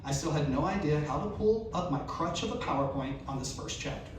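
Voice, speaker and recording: A man with a slightly high-pitched voice reads a comic personal story to a live audience. His delivery is performed, acting out the lines. The sound is very reverberant and picked up from a distance, with clear background noise. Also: lively intonation, brisk. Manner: performed delivery, acting out dialogue and self-questions. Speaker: reader at a live storytelling event. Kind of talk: reading a humorous first-person story aloud to an audience. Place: live event venue. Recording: echoey, noisy room recorded from far off.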